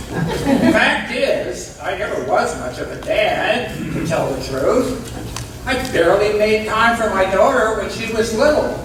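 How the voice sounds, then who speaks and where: Blunt middle-aged dad, high-pitched, echoey stage acted by a man. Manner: blunt, coarse-spoken. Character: gruff, self-deprecating middle-aged father, former prison mentor. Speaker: stage actor. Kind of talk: live stage play acting. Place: indoor stage, recorded from afar. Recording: echoey distant stage recording with noise.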